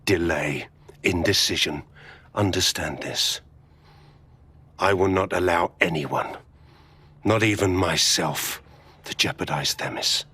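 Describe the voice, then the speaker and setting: An actor playing a cold, ruthless male security company boss, speaking in a calm, controlled, menacing way. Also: sits low, husky, heavily acted.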